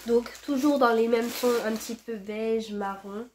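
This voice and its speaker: A woman's voice: fairly high pitch, fairly even intonation, a little slow, darkish tone, a little hiss or hum. Young woman, stuffy from a cold, chatty home vlog delivery full of fillers. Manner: chatty, many fillers and restarts. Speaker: fashion vlogger. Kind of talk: casual haul chat to camera. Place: at home, indoors.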